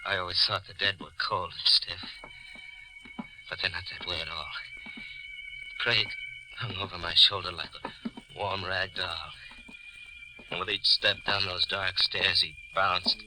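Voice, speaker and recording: An actor playing a nervous, guilt-ridden hearse driver in a 1940s radio thriller, jittery and defensive. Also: male voice, low-pitched, steady tone, a little slow, pauses often. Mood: haunted narration. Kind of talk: 1940s radio thriller acting with first-person narration. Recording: noisy old 1940s radio recording.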